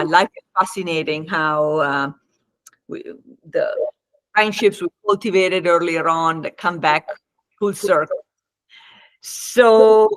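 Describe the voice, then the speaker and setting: Slow-speaking woman with an Italian accent. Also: on the low side, no echo, rough recording. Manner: halting, pausing often mid-thought. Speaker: interview guest, life coach and psychologist. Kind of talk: conversational interview.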